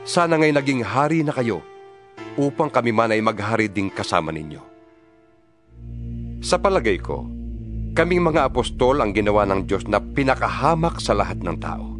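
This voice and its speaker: A man giving a solemn, expressive dramatized reading of scripture, his pitch swinging widely. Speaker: Bible reader. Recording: reading over guitar music.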